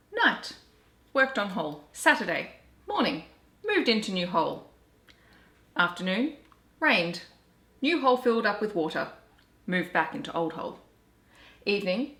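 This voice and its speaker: An Australian woman reading children's storybooks aloud in an animated, deliberate storytelling way. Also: medium pitch. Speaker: children's story reader and book vlogger. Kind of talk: expressive storybook read-aloud. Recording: roomy, echoey home recording.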